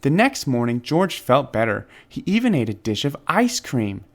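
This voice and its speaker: A man's voice: medium pitch, big pitch swings, normal speed. A man reading a children's storybook expressively, with dramatic emphasis on key words. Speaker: children's book reader. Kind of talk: expressive scripted storybook narration.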